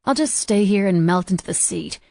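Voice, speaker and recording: A woman's voice: medium pitch, huge pitch swings, a little fast, warm tone, no room sound. A woman voicing a prickly, self-conscious young woman in an audiobook. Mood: huffy. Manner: huffy, defensive.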